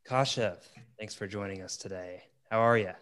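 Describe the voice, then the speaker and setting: A man's voice: lowish voice, moderate pace, a bit bright, clear, resonant tone, faint music behind. A man hosting a podcast, easygoing and joking with his guest. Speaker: podcast host.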